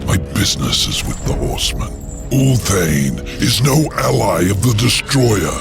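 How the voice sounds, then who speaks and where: An actor playing an imperious, manipulative demon lord in a video game, with a deep, gravelly voice and a grandiose, commanding manner. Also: male voice, very animated, a little slow, exaggerated voice. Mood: contemptuous, commanding. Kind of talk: video game fantasy acting. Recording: dramatic music behind voice.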